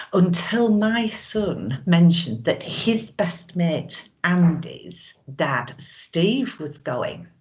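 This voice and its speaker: Brazen middle-aged widow, played by a woman, low-voiced, suggestive and wistful, theatrical monologue, dull home recording. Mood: sultry reminiscing. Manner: knowing, suggestive, with drawn-out pauses.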